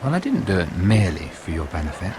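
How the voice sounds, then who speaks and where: Casting: scheming noble merchant for fantasy game, low voice, very lively intonation, silky courtly delivery. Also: male voice, fast talker, background noise. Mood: sly, persuasive. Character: scheming male noble merchant, smooth and calculating. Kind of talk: dramatic game cutscene acting.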